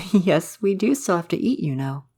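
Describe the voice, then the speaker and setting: Man playing a courtly, gentle male forest spirit. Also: high voice, lively intonation, moderate pace, slightly rough, heavily acted. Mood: explaining, thoughtful. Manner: formal, old-fashioned, polite phrasing. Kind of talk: ASMR roleplay, soft romantic audio drama.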